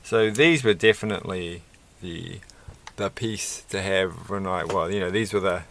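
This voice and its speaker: A middle-aged man talks in a low, croaky voice with a bright, forward edge. He rambles casually as he builds at a desk, pausing to hunt for words. Faint noise and small clicks sit behind him. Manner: rambling, halting, searching for words. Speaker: Lego build video creator. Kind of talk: casual hobby vlog, unscripted commentary while building. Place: indoors at a desk.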